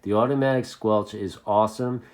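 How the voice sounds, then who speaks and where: American male hobby vlogger, lowish pitch, casual and unscripted, rambling delivery with repeated phrases. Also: steady tone, a little fast, darkish tone. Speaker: CB radio hobbyist video host. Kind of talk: casual unscripted video chat to fellow hobbyists.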